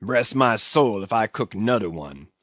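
A man's voice: medium pitch, very animated, a little slow, dry recording, lo-fi sound. A man voicing a sulky ninety-year-old ship's cook in a storybook, muttering and grumbling in a heavy dialect. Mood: sullen.

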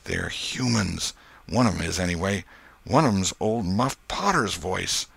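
A man voicing a superstitious country boy in a storybook, hushed with dread and drawling in rustic dialect. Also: low-pitched, expressive, unhurried, gravelly, husky, no room sound, exaggerated voice. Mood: wary.

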